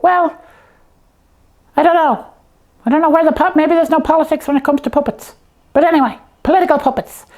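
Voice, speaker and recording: A tiny, very high puppet voice, put on by a woman, belonging to a slipper who hosts a comedy show. It explains things in simple, halting, matter-of-fact phrases and is easily exasperated. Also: fairly even intonation, a little mellow, lightly acted. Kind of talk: comic puppet dialogue explaining a topic simply.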